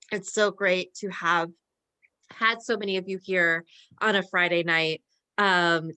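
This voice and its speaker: A woman moderator giving appreciative, deliberate closing remarks at an online talkback. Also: lowish voice, pushed and bright, clean tone.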